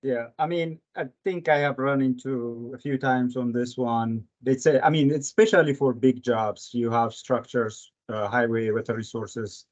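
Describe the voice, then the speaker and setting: A man with a medium-pitched voice speaks up in an informal work meeting. His delivery is halting and tentative. The online call sounds dull and low in fidelity. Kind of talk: casual work meeting discussion.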